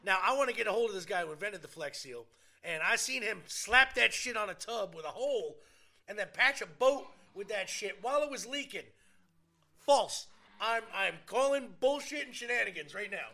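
Animated, bright man co-hosting a podcast. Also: sits high, moderate pace, vocal fry. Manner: animated, swearing, explains at length. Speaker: podcast co-host. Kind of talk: casual chat.

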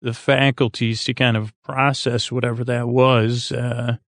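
A man with a gravelly, creaky voice hosting a bedtime podcast, rambling along hesitantly with many halting false starts. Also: medium pitch, little pitch movement, dry recording. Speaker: podcast host.

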